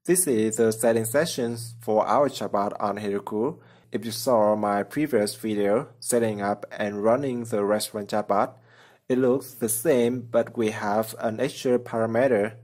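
A man's voice: lowish voice, a little mellow. Man narrating a coding tutorial plainly. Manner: plain step-by-step explaining, simple non-native phrasing. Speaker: programming tutorial channel host. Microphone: voice-over over screen recording.

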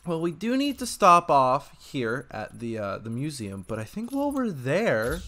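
A man's voice: mid-range voice, huge pitch swings, moderate pace, sharp tone. A man giving casual, joking and sarcastic playthrough commentary as a gaming streamer. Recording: game music behind the voice.

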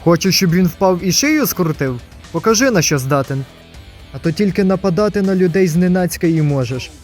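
Male actor for a reluctant comic-dub hero: young student, slightly raised pitch, grumbly dry wit. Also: lively intonation, normal speed, dark tone, soft background music, natural voice. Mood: challenging.

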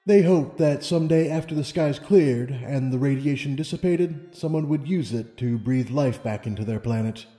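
A man's voice: mid-range voice, normal speed, hardly any pauses, mellow, close to an everyday voice. A man voicing a wise, serene female pony ruler in a storybook reading, with solemn, formal speech. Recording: long reverb, music underneath.

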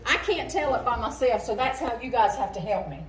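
A woman's voice: fairly high pitch, expressive, fairly quick. A woman telling a Bible story to children in simple, repeated phrases, coaching them to respond, recorded from across a church sanctuary. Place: church sanctuary stage, indoors. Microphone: recorded by a distant audience camera. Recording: distant, echoey church hall, noisy room. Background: children and room noise.

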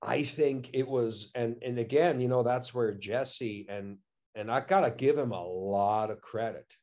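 Enthusiastic actor on a video call. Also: male voice, medium pitch, a little slow, a little mellow. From Switzerland. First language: German. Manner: enthusiastic, repeats words, many "you know" and "I mean". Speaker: actor, interview guest. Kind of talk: casual interview chat. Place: living room at home, indoors. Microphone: video call, webcam.

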